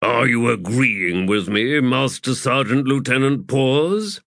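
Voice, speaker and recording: A man voicing a strict, severe army officer with a rough voice, speaking slowly and dryly. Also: mid-range voice, a bit bright, no echo, voice pushed up. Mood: stern. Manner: slow, dry and severe. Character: stern strict older male army officer.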